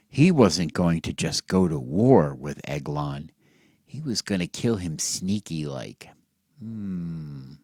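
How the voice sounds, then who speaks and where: A man telling a satirical bedtime story as if to children, in a theatrical, mock-earnest way with drawn-out words and hushed drops. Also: deep voice, no room sound, studio quality.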